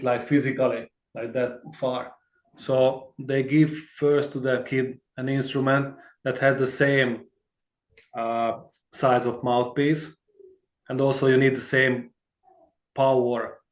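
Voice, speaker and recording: A man's voice: mid-range voice, little pitch movement, takes it slowly, roomy sound, muffled recording. A man from Hungary, speaking, talks in a rambling, friendly way as a guitarist guest in a casual interview. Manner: rambling and friendly, many fillers and restarts, laughs easily.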